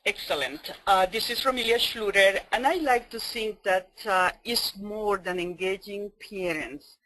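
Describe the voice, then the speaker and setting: A woman's voice: mid-range voice, unhurried, pushed and bright, no echo, poor audio. A woman from El Salvador with a Spanish accent, presenting a webinar as a trainer in an earnest, emphatic way.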